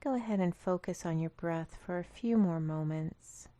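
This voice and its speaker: A woman leading a guided meditation in a calm, gentle, instructional manner. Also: lowish voice, moderate pace, dry recording. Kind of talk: guided body scan meditation.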